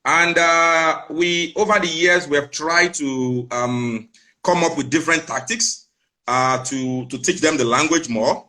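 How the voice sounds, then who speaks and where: Calm Nigerian father explaining. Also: fairly high pitch, measured pace, poor audio. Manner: calm, explaining, repeats phrases. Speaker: father and channel runner. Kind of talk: casual interview answers.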